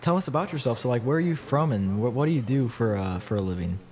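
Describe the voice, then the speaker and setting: Man, podcast host, casual interview, friendly, medium pitch. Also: animated, fast talker, darkish tone.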